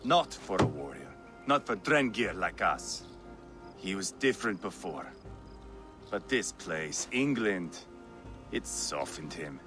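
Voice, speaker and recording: A brash Viking, wildly swooping delivery. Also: male voice, sits low, very animated, slow, pauses often, over music, heavily acted. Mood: scornful. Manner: theatrical, boastful, swinging between teasing and menace. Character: brash, bloodthirsty Viking warrior, mocking and restless. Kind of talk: video game cutscene acting.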